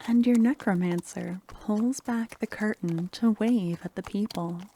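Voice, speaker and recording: A woman narrating a scripted ASMR fantasy story to the listener in a soft, half-whispered, gentle and soothing way. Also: medium pitch, a little hiss or hum. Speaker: ASMR storyteller and channel host. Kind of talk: softly spoken scripted second-person narration.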